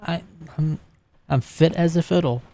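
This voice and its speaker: A man's voice: a little high, measured pace, vocal fry, warm tone, no echo, pitched above the performer's own voice. A man playing a sheepish, affectionate young woman on the phone, hesitant, evasive and sweet in manner. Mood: affectionate, reassuring.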